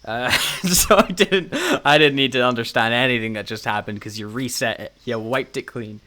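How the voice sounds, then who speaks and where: A man's voice: medium pitch, normal speed, pushed and bright, slight background noise. A man co-hosting a podcast in casual chat, with a wry, jokey manner and frequent laughter. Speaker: podcast co-host. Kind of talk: casual chat reviewing audio dramas.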